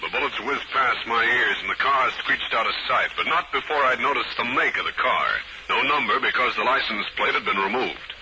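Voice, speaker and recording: An actor playing a wisecracking, hard-boiled private detective in a 1950s radio crime drama, with a wry, deadpan delivery. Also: male voice, mid-range voice, brisk, few pauses, lightly acted. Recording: very noisy old 1950s radio recording.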